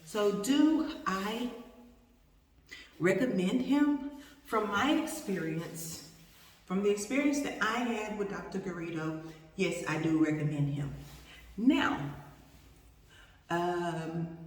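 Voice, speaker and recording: A woman's voice: mid-range voice, darkish tone. Casual female vlogger, candid and emphatic through repetition, mid-range voice, distant mic with roomy reverb. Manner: candid, repeats phrases for emphasis. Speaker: vlogger giving a personal review. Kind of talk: casual chat.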